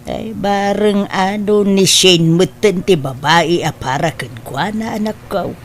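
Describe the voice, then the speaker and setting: A doting mother of a grown son acted by a woman in an Ilocano family drama. She speaks slowly and tenderly, with an Ilocano accent and a middling pitch. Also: slight background noise, natural voice. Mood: gentle, supportive. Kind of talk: Ilocano radio-style family drama acting.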